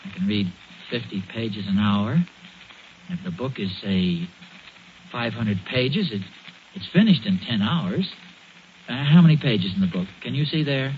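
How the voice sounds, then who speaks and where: A man's voice: fairly low pitch, lively intonation, unhurried, pauses often. An American man playing a bumbling, stubborn suburban dad who hems and haws through a 1940s radio comedy. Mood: pondering. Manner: hesitant, hemming and hawing. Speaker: sitcom lead. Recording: muffled, noisy vintage radio broadcast.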